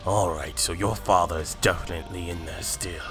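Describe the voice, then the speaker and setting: A man's voice: sits low, fairly even intonation, a little fast, fairly bright tone, faint music behind. A man playing a stern, hot-tempered Saiyan warrior mentor in an anime fan drama, harsh and commanding. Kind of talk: fan-made anime roleplay voice acting.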